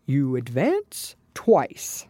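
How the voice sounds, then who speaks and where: A woman plays a mournful male turtle creature in a storybook. He speaks very slowly and solemnly, stretching out his words with wide, swooping melody. The sound is close and dry. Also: very deep voice, wildly swooping pitch, dark tone, no echo, full character voice. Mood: wistful, excited. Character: melancholy, sighing old male Mock Turtle, nostalgic and solemn. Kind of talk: storybook character voice.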